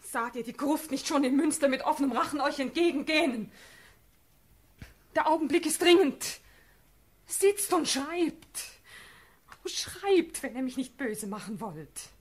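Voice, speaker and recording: An actress playing an ardent young princess in a classic verse radio drama, breathy and fervent. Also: female voice, high-pitched, lively intonation, unhurried. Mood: insistent, pleading. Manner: fervent, urgent declamation.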